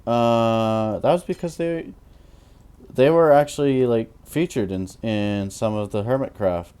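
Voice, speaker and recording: A tired man in his twenties with a naturally deep voice, rambling through casual commentary while he builds in a game, full of fillers and restarts. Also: measured pace, warm tone, clean tone. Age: 20s. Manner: rambling, hesitant, many fillers. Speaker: Minecraft YouTuber.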